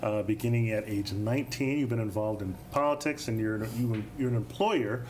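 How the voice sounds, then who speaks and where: Measured male TV host. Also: lowish voice, darkish tone, a little hiss or hum. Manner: measured, with frequent uh fillers. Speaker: TV talk show host. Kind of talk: studio interview.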